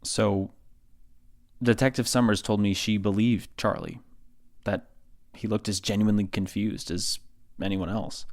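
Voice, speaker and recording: A low-voiced, confiding podcast host. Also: male voice, fairly even intonation, measured pace, no room sound, clean studio sound. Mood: measured, confiding. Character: haunted, confiding male investigative podcast host. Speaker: podcast host narrator in an audio drama. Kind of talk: conversational scripted true-crime narration.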